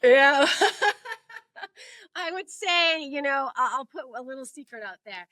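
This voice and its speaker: Woman in her forties, native Portuguese speaker, bright voice and dry sound, candid and quick to laugh. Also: high voice, expressive, no echo. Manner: laughs often, warm and candid. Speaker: guest, HR executive. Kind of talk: casual interview chat.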